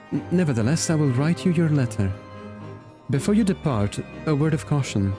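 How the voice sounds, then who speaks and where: A man's voice: medium pitch, natural voice. A man voicing a courteous, earnest young nobleman from a fantasy game, reading the dialogue formally and politely. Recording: music behind the voice. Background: game music.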